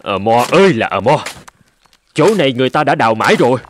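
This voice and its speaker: A man's voice: mid-range voice, big pitch swings, fast talker, slight background noise, lightly acted. A man playing an anxious, stubborn gold prospector in a cartoon, with a fretful, complaining manner. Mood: exasperated. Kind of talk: cartoon dub acting. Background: music.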